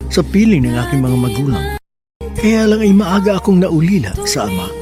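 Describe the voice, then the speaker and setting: Casting: male radio drama host, Filipino accent, raised pitch, sentimental storytelling over a music bed. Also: very animated, normal speed. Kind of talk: dramatic scripted narration, letter reading. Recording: radio broadcast with music underneath.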